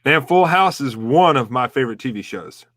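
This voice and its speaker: A man's voice: medium pitch, lively intonation, normal speed, fairly bright tone, no echo. A man hosting a casual interview, friendly and encouraging, asking open questions. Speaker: host.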